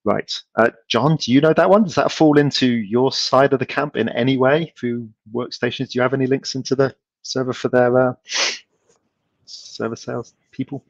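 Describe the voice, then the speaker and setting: A middle-aged man giving a casual conference Q&A from a home room over a video call, relaxed and hesitant, with many ums and restarts. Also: medium pitch, normal speed, dry recording, lo-fi sound. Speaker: company speaker answering audience questions.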